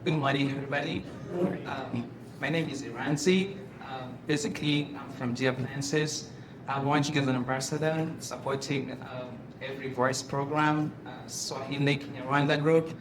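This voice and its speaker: A man's voice: medium pitch, fairly even intonation, moderate pace. A man from Africa, introducing himself to students in a friendly, hesitant way. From East Africa. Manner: friendly, hesitant, filler-heavy. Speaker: company ambassador on a panel. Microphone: handheld microphone. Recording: echoey room with clear noise.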